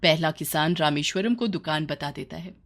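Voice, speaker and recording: A woman's voice: sits low, normal speed. A woman narrating a scripted moral story for children as its storyteller.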